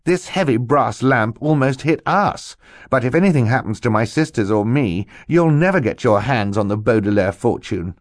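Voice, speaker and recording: A man's voice: medium pitch, lively intonation, moderate pace, no room sound, studio quality, voice pushed up, natural voice. A man voicing a brave, clever young boy in an audiobook, earnest and defiant. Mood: fierce.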